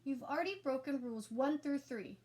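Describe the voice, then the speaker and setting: Lady doing a prissy little boy, high pitched and insistent, storybook rule follower. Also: brisk, a little mellow, close to an everyday voice. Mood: scolding. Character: rule-obsessed, earnest, prissy young boy.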